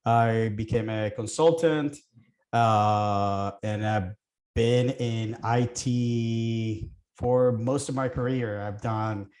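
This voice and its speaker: A middle-aged man from Puerto Rico speaks in a relaxed, mellow voice, as a guest in a casual chat. He thinks aloud, pausing on fillers and restarting his sentences. The room around him adds a noticeable echo. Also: lowish voice. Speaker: guest, real estate investor.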